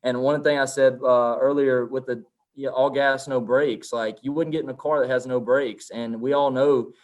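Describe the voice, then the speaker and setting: Casting: male strength coach, middle-pitched, emphatic, poses rhetorical questions, roundtable talk. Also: steady tone, normal speed, a little mellow, poor audio. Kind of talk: roundtable discussion.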